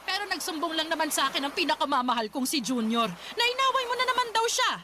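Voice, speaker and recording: A woman playing an overbearing older mother-in-law in a radio drama, loud, sarcastic and nagging. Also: extremely high pitch, sing-song, fast. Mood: sarcastic, scolding. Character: overbearing, scolding older mother-in-law defending her darling son. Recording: loud background noise.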